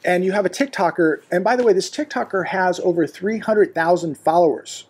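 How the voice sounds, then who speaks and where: A man's voice: sits high, fairly quick, breathy edge. Alarmed man's emphatic commentary monologue. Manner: alarmed, emphatic, repetitive with frequent restarts and fillers. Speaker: commentary vlogger. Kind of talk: unscripted news commentary monologue. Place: living room indoors.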